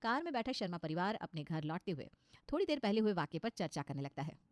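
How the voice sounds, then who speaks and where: A woman's voice: fairly low pitch, very animated, fast talker, dry recording. A woman reading scripted narration for a moral story.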